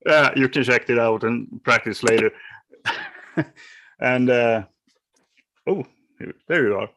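A Swedish man hosting a podcast, chatting in English with a Swedish accent, halting with many fillers and restarts. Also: medium pitch, a bit bright. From Sweden. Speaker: podcast host. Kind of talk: casual chat. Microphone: remote call.

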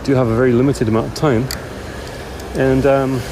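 Hesitant man calling in over loud background noise. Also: mid-range voice, fairly even intonation. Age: middle-aged. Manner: hesitant, thinking aloud with frequent pauses. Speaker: podcast caller. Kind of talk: casual voice message. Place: on the way to work.

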